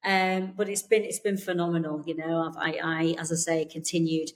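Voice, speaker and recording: A British woman from the UK, a therapist guest chatting on a podcast over a remote call, warm and reassuring with many fillers and restarts. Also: low-pitched, level tone, normal speed. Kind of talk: casual interview chat.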